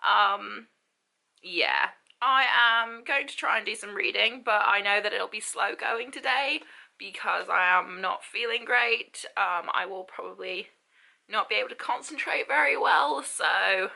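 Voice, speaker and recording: A woman's voice: mid-range voice, measured pace, creaky, sharp tone. A young woman vlogging casually to camera, rambling with many ums and repeats. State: stuffy, sore throat, lethargic. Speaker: book vlogger. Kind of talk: casual vlog talk to camera.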